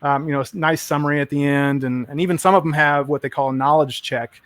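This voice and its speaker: A man's voice: on the high side, steady tone, quick pace, a bit bright, no echo. A man chatting enthusiastically and rambling a bit on a tech stream, full of fillers. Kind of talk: casual tech chat.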